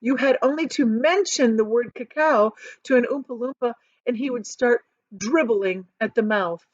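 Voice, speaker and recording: A woman voicing an eccentric, proud and excitable male chocolate factory owner in a theatrical, emphatic storybook manner. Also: on the high side, normal speed, dry recording, highs cut off, lightly acted. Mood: dramatic, pitying. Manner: theatrical, emphatic, stretching key words.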